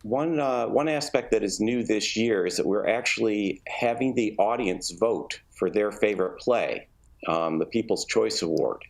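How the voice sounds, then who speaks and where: Low, creaky-voiced man explaining in an interview. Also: moderate pace. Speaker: theatre artistic director, interview guest.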